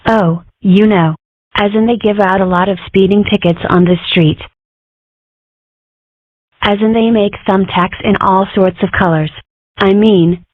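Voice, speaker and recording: A woman's voice: medium pitch, dry recording, natural voice. A woman voicing an inquisitive, dramatic young cartoon girl in a comic strip dub, with wry, theatrical musing. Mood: curious, wry. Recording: muffled phone-like audio.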